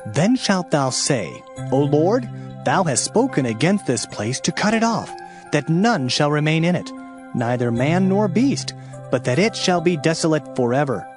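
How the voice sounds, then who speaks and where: Man voicing a slow, solemn prophet of doom over music. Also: a little high, voice pushed up. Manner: slow, drawn-out declaiming. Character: solemn male Old Testament prophet pronouncing doom. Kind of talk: dramatised scripture reading.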